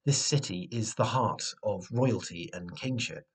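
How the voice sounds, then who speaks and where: A man in his twenties with a slightly husky voice, giving a measured, earnest sermon, recorded indoors at home on a device mic. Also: lowish voice. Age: 20s. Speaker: preacher. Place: small room at home, indoors. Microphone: webcam or device mic at arm's length.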